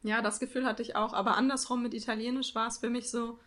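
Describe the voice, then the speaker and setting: A woman hosting an interview, friendly and laughing often, asking questions at an unhurried pace. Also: a little high, level tone, fairly bright tone. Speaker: interview host. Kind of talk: casual interview chat.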